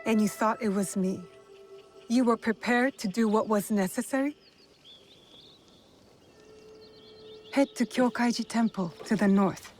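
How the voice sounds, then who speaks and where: A determined young woman warrior played by an actress in a game cutscene, her voice a little high. She speaks bluntly, with compassion underneath, and asks direct questions while music plays softly behind. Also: moderate pace, natural voice. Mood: probing, softening. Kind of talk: dramatic video game cutscene acting.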